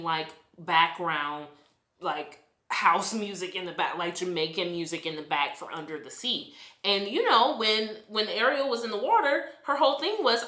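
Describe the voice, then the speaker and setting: Chatty exasperated woman, bright echoey sound. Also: on the low side. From United States. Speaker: vlogger. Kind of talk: casual movie review rant.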